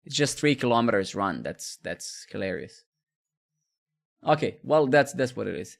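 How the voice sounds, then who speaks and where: Gravelly man chatting casually. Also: mid-range voice, animated, moderate pace. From Serbia. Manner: rambling, repeats words, swears casually. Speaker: live coding streamer. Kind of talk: casual chat with stream viewers.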